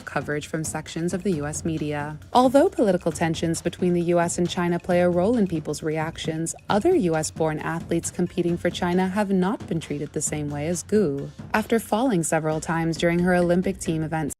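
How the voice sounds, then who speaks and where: A woman reporter reading a scripted news narration in a measured, even way. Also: lowish voice.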